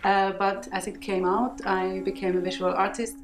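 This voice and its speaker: A visual artist woman from northern Finland, talking thoughtfully in an interview, pausing often with fillers. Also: medium pitch, flat delivery, roomy sound. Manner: thoughtful, hesitant with many fillers. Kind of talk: documentary interview.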